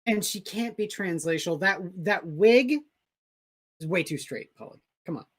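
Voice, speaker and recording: A co-host chatting on a live comics stream, taking time to inform the viewers. The voice sits at an ordinary middle pitch, and the talk is measured and casual. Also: lively intonation.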